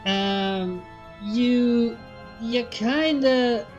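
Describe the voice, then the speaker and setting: A woman's voice: a little high, extremely slow, a little mellow, lo-fi sound, faint music behind, pitched above the performer's own voice. A woman voicing an easygoing, plain-spoken female country farm pony in a folksy manner. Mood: hesitant.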